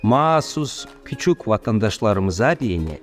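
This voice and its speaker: A man with a Gagauz accent narrates a promo in a slow, measured recitation. His pitch moves in a lively, expressive way. Soft music plays underneath. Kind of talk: scripted narration and poem recital.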